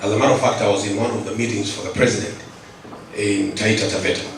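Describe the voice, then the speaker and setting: A man's voice: fairly low pitch, steady tone, fast talker. An earnest man from Kenya stands up at a conference and makes his case, stumbling and repeating himself as he presses on. His voice is caught from a distance in a large, very echoey hall, with a faint hiss behind it. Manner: earnest, repeats words and restarts, grows emphatic. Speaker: audience member at a conference. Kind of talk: speaking from the floor at a conference.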